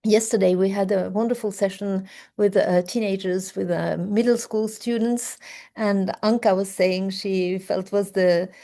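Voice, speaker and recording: A woman opening an academic seminar as host, speaking English with frequent hesitant pauses. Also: mid-range voice, steady tone, mellow. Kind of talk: seminar introduction. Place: seminar room, hybrid session.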